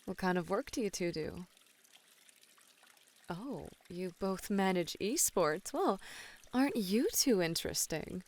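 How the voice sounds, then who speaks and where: A woman's voice: medium pitch, expressive, measured pace, pauses often. Flirty bunny woman, played by a voice actress, teasing and coy. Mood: curious, playful. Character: flirty, playful bunny woman, a model relaxing in a hot spring. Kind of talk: ASMR roleplay. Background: pouring, trickling water.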